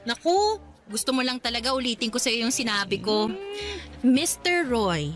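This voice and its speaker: A woman's voice: on the high side, moderate pace, lightly acted. A woman playing a frank, coy and playful young single woman in a Tagalog radio drama, with a Filipino accent. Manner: coy, animated, conversational. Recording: music under the voice.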